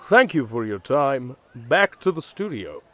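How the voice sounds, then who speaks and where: A man's voice: medium pitch, very animated, unhurried, bright, forceful tone, no echo. A man playing an eager on-location news reporter in an audio drama parody. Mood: keen, curious.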